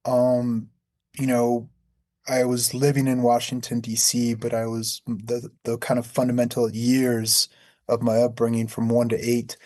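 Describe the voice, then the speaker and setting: A man from Peru answering interview questions calmly and reflectively, often repeating words as he thinks. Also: mid-range voice, no room sound. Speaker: guest, healing arts teacher.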